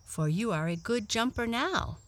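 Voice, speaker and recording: A woman's voice: fairly high pitch, expressive, measured pace, dry recording, pitched above the performer's own voice. A woman voicing a polite, adventurous female cat in a children's storybook, kindly, earnest and a little homesick.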